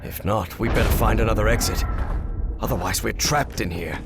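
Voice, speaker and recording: A man's voice: lowish voice, normal speed, lightly acted. Earnest middle-aged professor played by a man, over music. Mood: grave. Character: earnest, wary male professor adventurer. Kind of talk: cinematic game dialogue. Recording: music under the voice.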